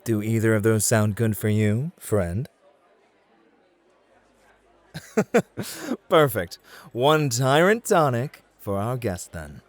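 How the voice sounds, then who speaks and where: Man playing a smooth, flirty club host, lively intonation. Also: on the low side, slow, no room sound. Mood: playful, gracious. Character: smooth, flirty, confident male club host mentoring a rookie. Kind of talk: scripted ASMR roleplay acting.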